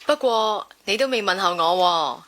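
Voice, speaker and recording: Coy young Cantonese girl, bright, animated. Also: mid-range voice, a little slow. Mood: playful, coy. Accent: Hong Kong Cantonese. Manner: coy, teasing. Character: coy, teasing young woman chatting online. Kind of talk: radio drama acting.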